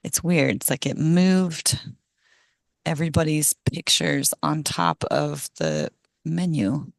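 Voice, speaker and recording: A middle-aged woman, hoarse from a cold, giving a casual presentation on a video call with many ums and small laughs. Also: sits low, expressive, takes it slowly, dry recording, studio quality. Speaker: guest speaker, ecologist. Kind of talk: online meeting presentation.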